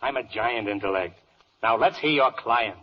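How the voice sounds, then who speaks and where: An actor playing a vain, bloodthirsty, fickle judge, blustering in theatrical rhyme in a 1940s radio verse comedy. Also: male voice, medium pitch, normal speed, husky, natural voice. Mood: testy. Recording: muffled old radio broadcast.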